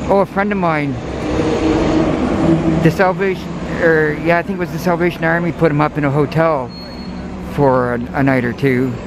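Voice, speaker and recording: A man talking outdoors on a city sidewalk, hesitant and halting, with many restarts. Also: fairly high pitch, steady tone, heavy background noise. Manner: hesitant, many restarts and fillers, soft-spoken. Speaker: interviewee. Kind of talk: halting casual talk.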